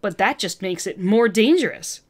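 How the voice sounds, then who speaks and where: A woman's voice: medium pitch, lively intonation, moderate pace, darkish tone, lightly acted. A woman voicing an arrogant, agitated woman scientist villain with an intense, condescending manner in a fan fiction reading. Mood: smug lecturing.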